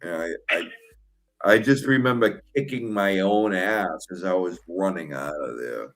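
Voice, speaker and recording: A man's voice: sits low, animated, a little slow, a bit bright. A man hosting a call-in show, telling a crude story in a rambling, casual way with lots of fillers.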